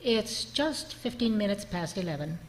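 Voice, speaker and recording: A woman's voice: medium pitch, lively intonation, moderate pace, lightly acted. A woman playing a politely unhelpful, matter-of-fact wartime nursing clerk in an old-time radio drama. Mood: brisk, polite. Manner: formal, patient, matter-of-fact. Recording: faint background noise.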